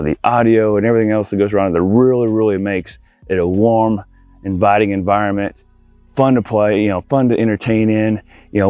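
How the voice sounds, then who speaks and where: A man's voice: lowish voice, a little mellow, no room sound. A man giving a casual, enthusiastic video walkthrough outdoors, talking informally to viewers as an installer showing off a project. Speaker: audio-video installer presenting a project tour. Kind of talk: casual walkthrough vlog to camera. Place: outdoors in a backyard by a pool.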